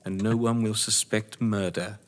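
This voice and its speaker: An actor playing a cold, gloating crime novelist and betrayed husband in an audio drama, speaking with eloquent, taunting menace. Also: male voice, on the low side, steady tone, moderate pace, close to an everyday voice. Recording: reverberant hall-like room.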